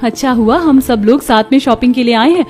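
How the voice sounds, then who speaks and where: A woman's voice: a little high, a little fast, a little mellow, soft background music, close to an everyday voice. A woman voicing a young woman in a cartoon family story. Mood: chatty. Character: young women of a neighbourhood, sweethearts and daughters-in-law. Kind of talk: cartoon voice acting.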